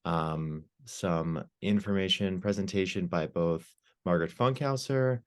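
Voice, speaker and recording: Low-voiced male admissions host; casual, rambling delivery on a video call. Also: unhurried. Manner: relaxed, rambling, frequent ums. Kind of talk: hosting a virtual open house.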